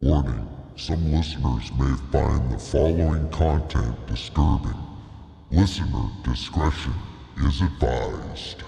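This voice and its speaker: Sinister, cavern-deep horror announcer. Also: male voice, gravelly, warm tone, full character voice. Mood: menacing. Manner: slow, menacing. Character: sinister male horror announcer with evil laugh. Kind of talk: scripted content warning. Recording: cavernous echo with long reverb.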